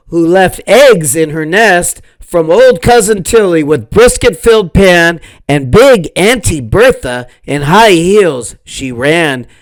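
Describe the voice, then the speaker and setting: A man's voice: high voice, voice pushed up. A man voicing a cocky, taunting little matzah man come alive, chanting boastful rhymes in a sing-song storybook manner.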